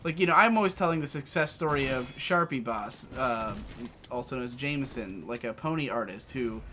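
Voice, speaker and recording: A man's voice: medium pitch, a little fast, bright, forceful tone, a little hiss or hum. A young man in his twenties chatting casually as co-host, rambling with restarts and casual swearing. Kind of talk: casual chat.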